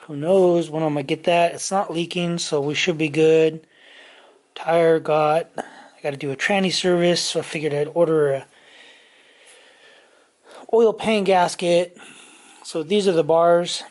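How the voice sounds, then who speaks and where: A man casually talking through motorcycle parts in his home garage, rambling with many pauses and fillers, recorded on a handheld camera. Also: on the high side, darkish tone. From California. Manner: rambling, many ums and restarts. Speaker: motorcycle vlogger. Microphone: handheld camera mic, off-screen.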